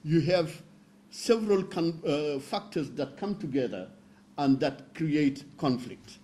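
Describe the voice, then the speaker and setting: Panelist, male, from northern Senegal; measured explainer with a higher-pitched, mellow voice. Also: expressive. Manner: measured, explanatory, with fillers and restarts. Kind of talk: roundtable talk.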